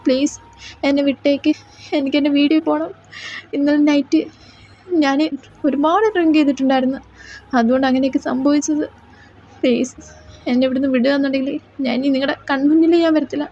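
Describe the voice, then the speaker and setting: A terrified young captive man, voiced by a woman begs and panics, his high voice jumping up whenever he is alarmed. The tone is soft and mellow rather than bright. The fan audio drama is a slightly dull, lo-fi recording with faint music behind it. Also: fairly even intonation, fairly quick, pauses often. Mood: pleading. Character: frightened, pleading young man held captive.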